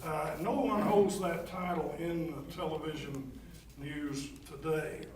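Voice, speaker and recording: A man's voice: a little high, fairly even intonation, a little slow. Older Texan man, distant and echoey. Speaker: panel moderator. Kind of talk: informal opening remarks to a public forum. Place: large echoing indoor venue, public forum. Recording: echoey, noisy room, distant microphone.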